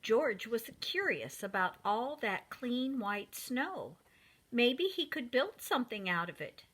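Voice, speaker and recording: A woman reading a picture book aloud to young children in an expressive, deliberate manner, speaking directly to them. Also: a little high.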